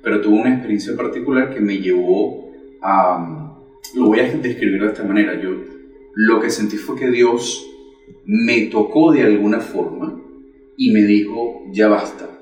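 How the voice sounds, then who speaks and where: Man in his 40s, earnest testimony, lowish voice, roomy distant sound. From Venezuela. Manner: earnest, measured storytelling with pauses. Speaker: catechist guest. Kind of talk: personal faith testimony.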